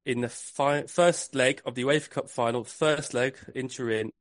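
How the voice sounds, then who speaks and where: Male podcast host running a quiz, measured clue reading, dry close sound. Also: medium pitch, steady tone, no echo. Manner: reads clues slowly and repeats them.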